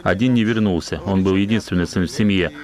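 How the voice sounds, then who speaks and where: A man reading a translated news voice-over in a measured, even way. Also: deep voice.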